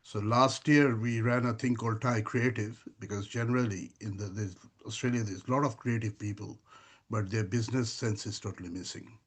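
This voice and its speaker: A man, a filmmaker, answering interview questions in a rambling, conversational way with frequent pauses. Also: lowish voice, steady tone. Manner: rambling, many fillers and restarts.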